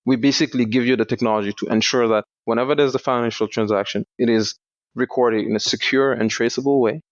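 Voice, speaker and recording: A young man from Senegal, a startup founder, explaining his work in a measured way in a podcast interview. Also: medium pitch, steady tone, dry recording. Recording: dull lo-fi dry sound.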